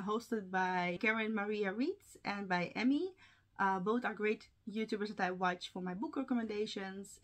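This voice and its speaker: A young woman whose first language is Russian, talking casually to camera from home about her reading plans, with fillers and small laughs. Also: mid-range voice. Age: young adult. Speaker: book vlogger. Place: home room with bookshelves. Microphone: camera framing, seated at desk.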